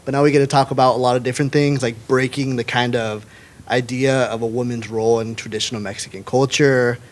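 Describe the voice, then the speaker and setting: A man's voice: medium pitch, fast talker. A man guest who writes and directs his own play, explaining it earnestly in a casual live chat. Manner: earnest, grows excited.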